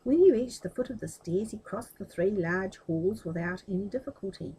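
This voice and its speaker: A woman reading a children's story aloud in an expressive, explanatory way. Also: medium pitch, moderate pace, slightly rough, poor audio.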